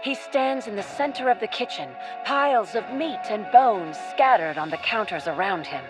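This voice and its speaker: A woman's voice: mid-range voice, animated, moderate pace, music in the background, close to an everyday voice, poor audio. A woman reading scripted, dramatic story narration as the narrator of a radio play.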